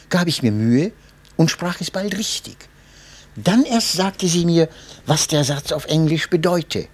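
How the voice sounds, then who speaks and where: A man reading a memoir aloud as narrator, in an expressive and measured way. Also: fairly high pitch, husky. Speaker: audiobook narrator.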